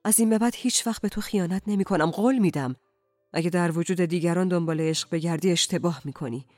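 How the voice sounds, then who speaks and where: A woman's voice: fairly low pitch, darkish tone, no echo, studio quality, faint music behind, natural voice. Woman voicing an agitated, reproachful betrayed husband. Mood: urgent pleading. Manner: insistent, accusing, pleading.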